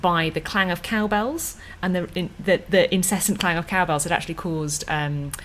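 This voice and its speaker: A woman's voice: lowish voice, steady tone, normal speed, fairly bright tone, a little hiss or hum. An English woman co-hosting a podcast, chatting playfully with many fillers. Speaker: podcast co-host. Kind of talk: casual chat.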